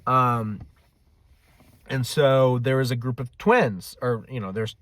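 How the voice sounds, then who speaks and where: A man's voice: mid-range voice, steady tone, slow, fairly bright tone, no room sound. A man telling anecdotes in a casual, rambling, self-deprecating way, swearing freely as he hosts a video. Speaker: video host. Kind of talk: casual rambling storytelling to camera.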